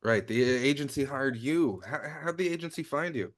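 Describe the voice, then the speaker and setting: A man's voice: medium pitch, animated, moderate pace, lightly acted. Awkwardly caring secret agent acted by a man. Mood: conciliatory. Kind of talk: comedic script table read.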